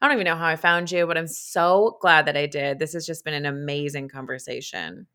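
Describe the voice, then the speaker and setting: Bubbly lady podcast host, lower voice, super bright and crisp. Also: a little fast. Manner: gushing, upbeat, says "so good" often. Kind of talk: enthusiastic podcast interview.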